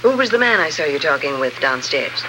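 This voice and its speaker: An old, faintly noisy radio drama recording carries a guarded woman archaeologist. Her voice is very bright and forward, and she speaks in a composed, formal, businesslike way. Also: low-pitched, sing-song, a little fast, full character voice. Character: guarded, businesslike female archaeologist plotting a desert revolt. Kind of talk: 1950s radio drama acting. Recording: vintage 1950s radio recording, faint noise.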